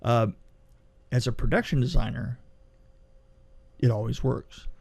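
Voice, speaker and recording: A man co-hosting a podcast, chatting casually and telling anecdotes in an animated way, often repeating himself for emphasis. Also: medium pitch, a little slow, creaky, slightly rough. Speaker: podcast co-host and film production designer. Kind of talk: casual movie review chat and anecdotes.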